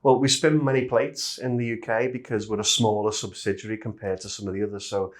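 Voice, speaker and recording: A man's voice: fairly low pitch, little pitch movement, fast talker. A middle-aged man from Liverpool with a Liverpool accent, chatting as a guest in a casual interview. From Liverpool, England. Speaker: interview guest, industrial safety manager.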